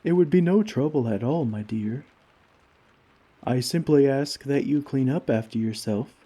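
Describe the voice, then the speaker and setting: A gentle, caring giant acted by a man in an ASMR comfort roleplay, talking to a little friend. His voice is mid-pitched and mellow, with a husky, breathy edge. He speaks slowly in courteous, old-fashioned phrasing, always tender. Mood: warm, reassuring. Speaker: roleplay audio performer.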